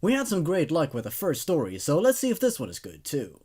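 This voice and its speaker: A man's voice: on the high side, wildly swooping pitch, brisk, darkish tone, slightly rough. A man hosting a horror story show, giving casual review commentary and repeating words for emphasis.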